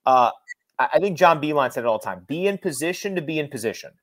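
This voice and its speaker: A man's voice: fairly high pitch, expressive, fast, dry recording. Man giving emphatic sports analysis, close dry sound. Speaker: radio play-by-play announcer, guest analyst.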